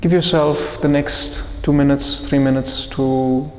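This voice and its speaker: Slow-paced man in an echoey room. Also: mid-range voice, fairly even intonation, a little mellow. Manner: measured, deliberate phrasing with pauses. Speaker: meditation teacher leading a session. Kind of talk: guided meditation talk to a group. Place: echoey indoor room, live group session. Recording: echoey room with long reverb.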